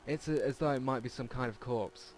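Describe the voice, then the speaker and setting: A man with a medium-pitched voice reads visual novel text aloud as a let's play host. He trips over and repeats words here and there. Game music plays behind him. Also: brisk. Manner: stumbles and repeats words while reading.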